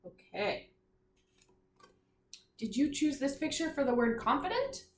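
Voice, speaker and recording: A woman teacher giving a read-aloud vocabulary lesson to young children, enunciating deliberately, repeating phrases and putting questions to her listeners. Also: sits high, big pitch swings. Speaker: primary school teacher. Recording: echoey room.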